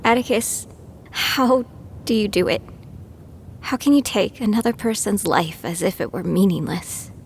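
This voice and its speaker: A woman playing an excitable, squeamish novice fighter in an audio drama, high-strung and rambling. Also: unhurried, slight background noise. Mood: shaken.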